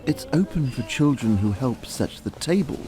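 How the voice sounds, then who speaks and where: A man acting a middle-aged father in an audio drama, smooth in manner, provocative and quietly needling. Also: mid-range voice, very animated, moderate pace, natural voice, low-quality recording. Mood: casually commanding.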